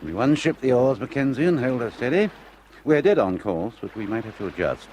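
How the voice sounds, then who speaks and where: A man's voice: mid-range voice, very animated, fairly quick, a little mellow, natural voice, poor audio. An actor playing a smug middle-aged male swindler who talks down to his partner as "dear boy". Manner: patronizing, says "dear boy". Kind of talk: 1970s radio drama acting. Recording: radio play with water noise.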